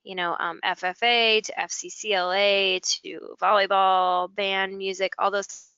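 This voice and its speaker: An American woman with a bright, clear voice talks in a conversational, explanatory way, walking listeners through examples. Her intonation stays fairly flat, and the close, dry audio sounds like an online presentation. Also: medium pitch, a little slow, no echo, dull audio. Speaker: school technology integration specialist, webinar guest. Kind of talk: conversational software walkthrough.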